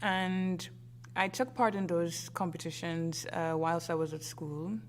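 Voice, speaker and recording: A woman from Trinidad and Tobago, an opera singer answering interview questions thoughtfully, with many pauses and fillers. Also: lowish voice, fairly even intonation, a little hiss or hum.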